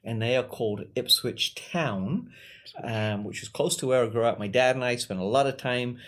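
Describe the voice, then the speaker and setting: A man's voice: medium pitch, normal speed, a bit bright. Jokey English man with a shifting accent. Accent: English with an American tinge. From England. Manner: jokey, laughs often, chatty. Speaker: podcast co-host. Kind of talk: casual chat.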